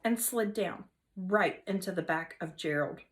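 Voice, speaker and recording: A woman teacher reading a children's novel aloud in an expressive storytelling manner. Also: fairly low pitch, measured pace. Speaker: third grade teacher.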